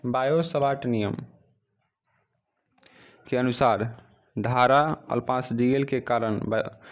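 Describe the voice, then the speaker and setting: A man teaching an exam practice lesson, reading out questions and then giving the answers in a steady drilling rhythm, recorded on a phone. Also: mid-range voice, slow.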